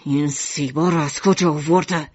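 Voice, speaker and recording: A man's voice: a little high, sharp tone, dry recording, muffled recording. A man playing a stern, hot-tempered father in an acted audio story, indignant and commanding. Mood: irritated.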